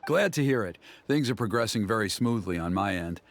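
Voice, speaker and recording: Animated, ceremonious game elder. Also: male voice, on the low side, heavy background noise. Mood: pleased, businesslike. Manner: formal, measured, approving. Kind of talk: video game character acting.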